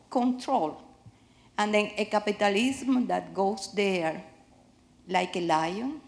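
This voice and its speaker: A woman from Cuba with a Cuban Spanish accent, speaking earnestly from the audience in a theater, pausing to find her English words. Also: medium pitch, creaky. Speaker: audience member asking a question. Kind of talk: impassioned personal testimony. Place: large theater with live audience.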